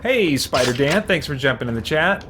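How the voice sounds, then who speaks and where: A man's voice: a little high, animated, moderate pace, background noise. A man chatting casually on a live stream while playing a game, with a playful, folksy manner. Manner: playful, mild folksy exclamations. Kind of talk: casual live-stream chat and gameplay commentary.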